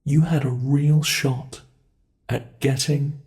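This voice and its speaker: ASMR horror role: British man plays a cruel male monster jailer, gravelly, slow and taunting. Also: mid-range voice, fairly even intonation, a little mellow. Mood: gloating menace. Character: cruel gloating male monster keeping a human captive.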